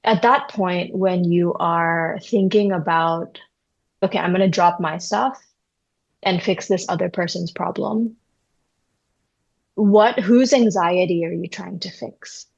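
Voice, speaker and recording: Woman from Indonesia, young adult therapist-host; low-leaning voice, reflective and searching; lo-fi capture. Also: slow pace, vocal fry. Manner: thoughtful, searching, with many fillers and "right?". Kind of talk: reflective conversational interview.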